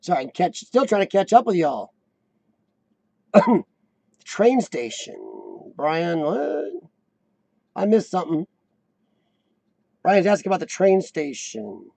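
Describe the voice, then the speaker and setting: A man in his forties hosting a casual live chat, with a high-pitched squeaky voice worn by coughing, who often clears his throat and restarts his sentences. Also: big pitch swings, takes it slowly, pauses often, a little mellow, dry recording. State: voice worn from lung illness and coughing. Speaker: host of a live chat show. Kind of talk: casual live-stream chat reading viewer comments.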